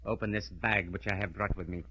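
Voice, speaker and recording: A criminal mastermind of old radio drama, with a sharp, forceful voice. He is unhurried and precise, wrapping every command in cold, formal courtesy. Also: sits low, fairly even intonation, poor audio. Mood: politely menacing. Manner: formal, precise, menacingly polite. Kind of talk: 1940s radio acting.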